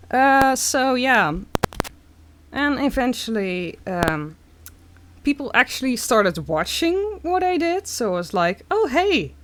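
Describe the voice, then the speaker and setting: Young woman, Dutch accent, unhurried halting stream chat. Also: high voice, sing-song, a little hiss or hum. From Netherlands. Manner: slow, halting, many fillers. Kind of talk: casual live-stream chat.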